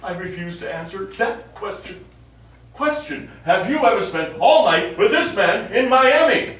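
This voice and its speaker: A man on stage plays a smug, none-too-bright lawyer who comes on eagerly and suggestively. His fairly high voice swings widely in pitch. It is a live theatre recording, distant and echoey with some noise. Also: sing-song, a little slow, exaggerated voice. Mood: amused, smug. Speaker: stage actor. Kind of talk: live stage comedy acting.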